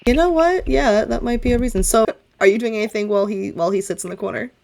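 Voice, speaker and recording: A woman's voice: fairly high pitch, fast, gravelly, darkish tone. A woman running a tabletop game, improvising narration as game master and talking with her players.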